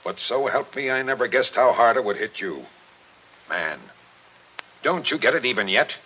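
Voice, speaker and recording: A man playing a gruff, relentless police captain with forceful, clipped talk in a 1940s radio drama. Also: mid-range voice, expressive, normal speed. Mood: gruff, insistent. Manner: forceful, insistent, clipped tough-cop talk. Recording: dull vintage 1940s radio recording.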